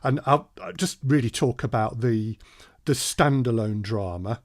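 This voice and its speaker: A man's voice: mid-range voice, a little slow, dry recording, studio quality. A man co-hosting a workshop in casual conversation, putting questions and prompts to a fellow speaker. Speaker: workshop co-host and interviewer.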